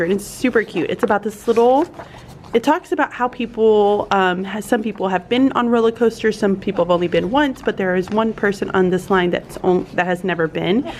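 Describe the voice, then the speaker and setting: A woman's voice: medium pitch, slight background noise. A young woman teacher, chatting upbeat through her school day in a classroom vlog, with plenty of fillers. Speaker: teacher vlogger.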